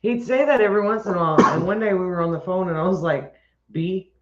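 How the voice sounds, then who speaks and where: Appalachian co-host: playful, higher-pitched man in an echoey, muffled room. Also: fast, a bit bright. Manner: playful, laughs easily. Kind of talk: casual livestream chat. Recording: echoey room, dull lo-fi sound.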